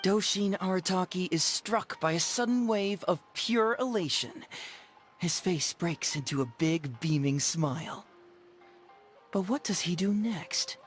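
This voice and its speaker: An actress playing a composed storyteller, narrating a video game tale in a formal, theatrical way. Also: female voice, fairly low pitch, measured pace, faint music behind, natural voice. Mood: composed, dramatic.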